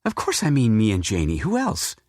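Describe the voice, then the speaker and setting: A man voicing a blunt, brisk grown daughter making plans with her father. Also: fairly low pitch, wildly swooping pitch, darkish tone, no room sound, clean studio sound, pitched above the performer's own voice.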